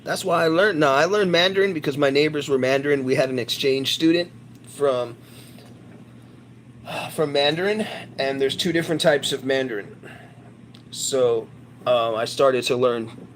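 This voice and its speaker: A man's voice: medium pitch, normal speed, long pauses, a little hiss or hum. A man chatting casually to his viewers on a livestream, rambling, boastful and crude. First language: English.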